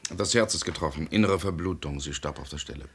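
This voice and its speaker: An actor playing a blunt, sober doctor in a radio drama, curt and grave in delivery. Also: male voice, extremely low pitch, quick pace.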